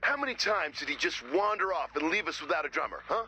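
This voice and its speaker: A raspy, high-strung, dramatic rocker. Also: male voice, expressive, quick pace, sharp tone, heavily acted. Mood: indignant. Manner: dramatic, whiny, indignant. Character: excitable, defensive lead guitarist and scientist. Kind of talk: video game voice acting.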